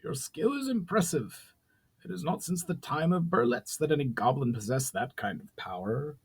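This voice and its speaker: A man's voice: fairly high pitch, big pitch swings, normal speed, husky, pitched above the performer's own voice, full character voice. A man voicing a stern, commanding goblin ruler with a nasal voice and formal, authoritative speech in a storybook reading. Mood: grudging respect.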